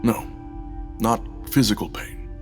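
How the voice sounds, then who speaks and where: A man playing a solemn, gentle guide in an audio drama, with a measured, grave manner. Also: over music, natural voice. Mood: solemn, probing. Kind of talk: full cast audio drama acting.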